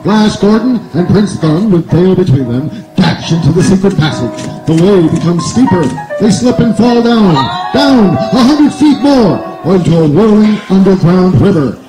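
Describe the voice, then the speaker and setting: A male old-time radio announcer with a high, widely swinging delivery, declaiming dramatically. Music plays behind him in an echoey stage recording. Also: animated, moderate pace, hardly any pauses. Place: outdoor night stage, distant camera.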